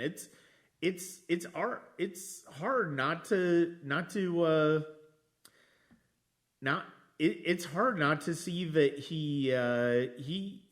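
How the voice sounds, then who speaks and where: A man hosting a casual film discussion, halting as he thinks aloud and often restarting his phrases. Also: fairly high pitch. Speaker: podcast host discussing films.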